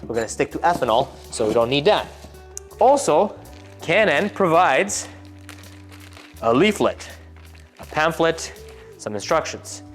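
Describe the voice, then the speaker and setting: A man's voice: on the high side, sing-song, unhurried, long pauses, faint music behind. A man hosting a technical car build video, explaining parts in a casual way with jokey asides, in a workshop. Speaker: car tuning shop host.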